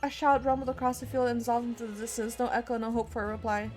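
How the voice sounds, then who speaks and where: High-voiced woman narrating a horror game. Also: flat delivery, quick pace, darkish tone. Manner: dramatic storytelling with spontaneous asides. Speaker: game streamer. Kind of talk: reading a horror visual novel aloud with reactions. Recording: music behind the voice. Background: game music.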